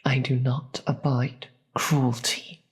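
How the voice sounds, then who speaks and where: A performer voicing a grave, weary demon queen in a dramatic audio roleplay, with a breathy, husky voice and slow, deliberate delivery. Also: medium pitch, little pitch movement. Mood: stern, commanding. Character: weary, commanding demon queen, secretly soft-hearted.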